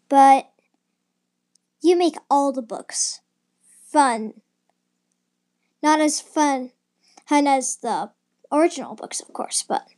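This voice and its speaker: High, lively, slow-talking woman. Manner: halting, thankful, trailing off. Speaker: listener leaving a voice message. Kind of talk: casual spoken voice message.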